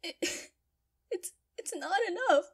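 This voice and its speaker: A woman's voice: very high-pitched, lively intonation, full character voice. A woman playing a distraught young maid in an audio drama, crying and stammering in desperation. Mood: tearful, desperate. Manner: halting, stammering. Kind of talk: emotional audio drama acting.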